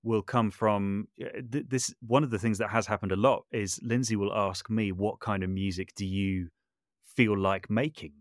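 A man's voice: lowish voice, steady tone, moderate pace, no room sound, clean studio sound. A man chatting casually as an interview guest, self-deprecating and talkative, often restarting his sentences. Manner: chatty, self-deprecating, hesitant with false starts. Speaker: podcast guest, composer and voice artist.

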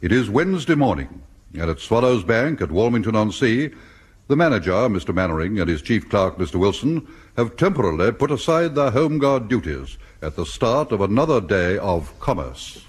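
English male announcer, husky, mid-pitched, lively intonation, formal old-time newsreel narration. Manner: solemn, measured.

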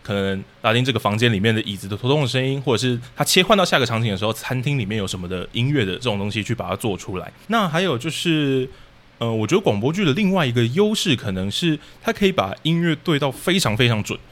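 A man's voice: mid-range voice, expressive, fast talker, fairly bright tone. A man with a Taiwanese accent speaking as a podcast guest, casually explaining his creative work step by step. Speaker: podcast guest, audio drama troupe leader. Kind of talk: casual explanatory interview talk.

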